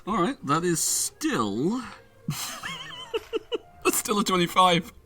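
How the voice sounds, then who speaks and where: A man from southern England with a southern English accent, playing in a tabletop game with animated, joking talk. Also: sits high, very slow, over loud music. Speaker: player. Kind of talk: casual tabletop game play.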